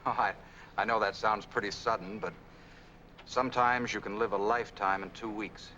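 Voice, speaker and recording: An actor playing an earnest, lovestruck American engineer in a 1940s film noir, speaking in a conversational manner. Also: male voice, fairly low pitch, steady tone, moderate pace, sharp tone, dull audio, a little hiss or hum. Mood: earnest, wistful. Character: earnest, lovestruck male engineer, sentimental and solicitous. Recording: 1940s film soundtrack, faint music.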